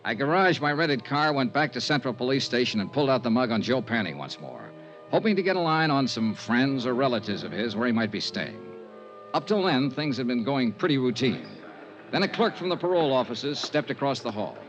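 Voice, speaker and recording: A man's voice: mid-range voice, very animated, brisk, lightly acted. Male actor as 1950s radio investigator: American, clipped, understated, persistent, wide intonation, muffled old broadcast sound. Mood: wry narrating. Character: dry, persistent male insurance investigator narrating his case. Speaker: investigator and narrator. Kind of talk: 1950s radio detective drama, scripted narration. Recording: dull vintage radio recording, music.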